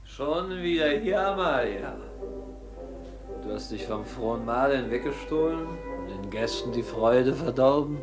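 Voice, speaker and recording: Man playing a scheming coaxing suitor in an old-style radio play, clear music underneath. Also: mid-range voice, lively intonation, unhurried, natural voice. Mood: coaxing, reproachful. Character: insinuating, scheming suitor coaxing a grieving woman. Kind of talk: classical stage-style radio drama acting. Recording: music behind the voice.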